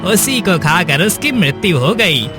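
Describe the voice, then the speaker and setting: A man voices a stern king's soldier in a cartoon moral tale. He is formal and commanding, delivering orders slowly over clearly audible music. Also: sits high, sing-song. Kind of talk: dramatised moral story for cartoon. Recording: music behind the voice.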